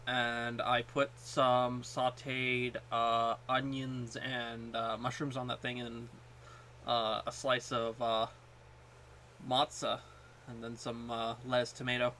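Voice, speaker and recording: A man with a mid-pitched voice chats casually as a podcast co-host. He speaks in a halting way, circling back over the openings of his phrases. A faint noise sits behind the voice. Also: completely flat delivery, sharp tone. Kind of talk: casual chat about food.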